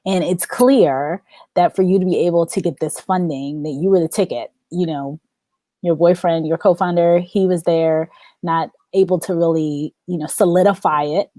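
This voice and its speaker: A woman's voice: on the low side, fairly even intonation, moderate pace, dark tone, no echo. A woman hosting a podcast interview, enthusiastic, often repeating and restarting her phrases as she asks questions. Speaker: podcast host.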